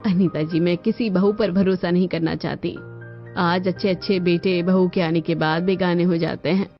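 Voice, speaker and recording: A woman playing a firm, cautious mother in an animated family drama, insistently explaining her household plans. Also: lowish voice, normal speed, lo-fi sound, soft background music, natural voice. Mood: firm, wary.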